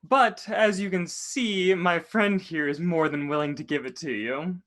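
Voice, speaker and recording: A performer voicing a smooth-talking, mock-polite henchman who threatens with false courtesy, in a livestreamed script reading. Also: mid-range voice, measured pace, a bit bright, natural voice. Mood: silky menacing.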